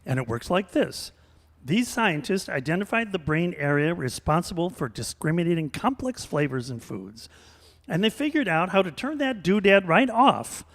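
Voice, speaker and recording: A man acting as an emphatic, upbeat commercial pitchman in a scripted parody ad for live radio theater. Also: animated, normal speed, fairly bright tone, exaggerated voice. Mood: salesy, assured. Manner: emphatic salesman delivery. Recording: reverberant hall stage recording.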